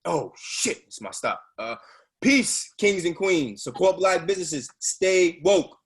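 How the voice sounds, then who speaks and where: A man's voice: high voice, very animated, slow pace, husky, full character voice. A man playing a fervent, streetwise activist in a stage reading, speaking in an emphatic, oratorical way. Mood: hurried, rousing. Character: fervent streetwise male activist preaching to subway riders. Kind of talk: readers theatre stage monologue.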